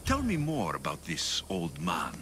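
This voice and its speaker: A tough mercenary hero in a video game. His voice is lowish and lively in pitch, dry and blunt in its commands, with music behind it. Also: measured pace, full character voice. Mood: firm. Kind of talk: video game cutscene acting. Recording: music under the voice.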